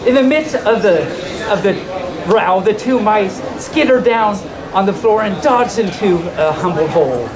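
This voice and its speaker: An androgynous-sounding storyteller tells a bedtime tale live in an informal way. The delivery is halting, with repeated and corrected phrases. The large indoor room is full of loud crowd noise. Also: mid-range voice, expressive. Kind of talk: informal storytelling to an audience, with asides.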